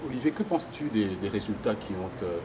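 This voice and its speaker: A man working as an interviewer, speaking in a semi-formal way with careful, wordy and halting phrasing. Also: lowish voice, expressive. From Democratic Republic of the Congo. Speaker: interviewer for a Congolese web media outlet. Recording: noisy location with background ambience.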